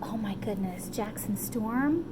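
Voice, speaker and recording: A woman telling a story to children in an animated, encouraging way, often checking in with her listeners. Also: mid-range voice, takes it slowly, noisy background. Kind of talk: animated children's storytime retelling, loosely paraphrased.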